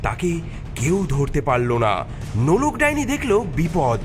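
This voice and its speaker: A man's voice: a little high, huge pitch swings, slow pace. A man narrating a scripted cartoon story in a dramatic style. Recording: loud music mixed under the narration.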